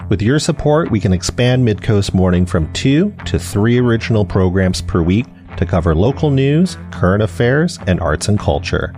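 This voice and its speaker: A man's voice: fairly low pitch, lively intonation, moderate pace, few pauses, darkish tone, faint music behind. A man reading a scripted radio sponsorship and donation announcement.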